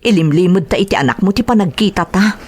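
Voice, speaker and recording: A woman acts a resentful, demanding lover in an audio drama. Her slightly low voice swings widely in pitch as she presses her case. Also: expressive, fast talker, a little mellow, slightly rough. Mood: angry, demanding. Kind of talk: Ilocano audio drama acting.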